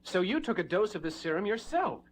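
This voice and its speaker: An actor playing an eager, excitable teenage sidekick in a 1970s superhero audio drama, earnest and exclaiming. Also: male voice, sits high, a little fast. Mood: curious.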